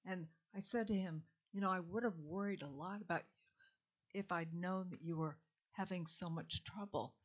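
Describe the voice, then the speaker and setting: An older woman with a low, grounded voice gives an informal talk to a live crowd, candid and chatty with a dry humor. The sound is dull and a bit lo-fi, as if picked up from a microphone in a hall. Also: normal speed. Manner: candid, chatty and warm-humored, with soft laughs. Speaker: invited speaker.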